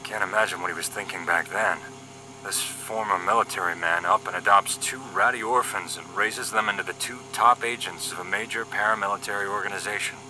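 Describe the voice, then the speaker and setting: A man's voice: fairly low pitch, fairly even intonation, a little fast, sharp tone, a little hiss or hum, poor audio. A man acting as a wisecracking young paramilitary agent in a scripted audio drama, cocky and flippant in his banter. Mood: musing.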